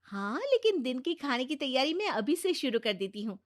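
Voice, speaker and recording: Woman acting a gushing, high-pitched young daughter-in-law. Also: big pitch swings, normal speed. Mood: cheerful, eager. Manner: gushing, exclaiming, theatrical. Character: eager-to-please young daughter-in-law, bubbly, oblivious, boastful. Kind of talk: Hindi moral-story cartoon acting.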